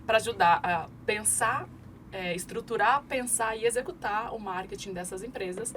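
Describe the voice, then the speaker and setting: A woman in her forties, from Brazil, a marketing expert guest on a podcast, explaining her career in steady, articulate speech with frequent fillers. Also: medium pitch, normal speed. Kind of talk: conversational podcast interview. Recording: clear background noise.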